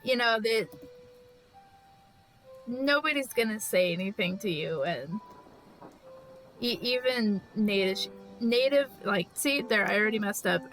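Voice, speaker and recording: A woman's voice: medium pitch, lively intonation, measured pace, pauses often, a bit bright, faint music behind, rough recording. A woman from California chatting casually with viewers while drawing on a live stream, rambling with lots of fillers and restarts. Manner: rambling and chatty, with restarts and frequent "like" and "you know".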